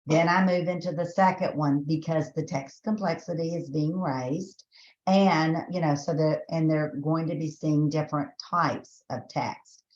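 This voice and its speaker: A woman's voice: deep voice, a little mellow, roomy sound, highs cut off. A woman from Texas with a Southern accent, presenting a teacher training webinar in a chatty way, often restarting her sentences. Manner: chatty, thinks aloud with restarts and fillers.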